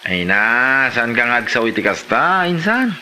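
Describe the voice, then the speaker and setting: A man's voice: medium pitch, big pitch swings, a little slow, bright, forceful tone, a little hiss or hum, exaggerated voice. A man playing a pushy, teasing cousin in an Ilocano comedy drama, speaking with an Ilocano accent. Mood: persuading.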